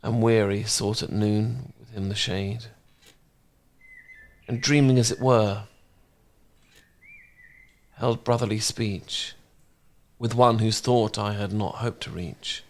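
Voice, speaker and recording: A man playing a smitten, bashful housemate in an intimate romance audio roleplay, speaking gently and sometimes haltingly. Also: fairly low pitch, fairly even intonation. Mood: measured, reflective reading. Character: smitten, bashful male housemate, tender poetry reader.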